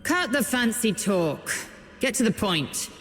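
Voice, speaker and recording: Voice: mid-range voice, very animated, slow, a bit bright, a little hiss or hum. An actor voicing a cold, ruthless chief of an imperial legion in a video game, curt and imperious. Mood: stern, impatient. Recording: echoey hall reverb with music.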